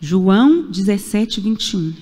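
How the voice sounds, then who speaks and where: A woman's voice: mid-range voice, lively intonation, a little slow, warm tone. A Brazilian woman in her forties preaching a sermon in a church, emphatic and repetitive, with rhetorical questions. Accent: Brazilian Portuguese. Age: 40s. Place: church sanctuary. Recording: echoey church hall.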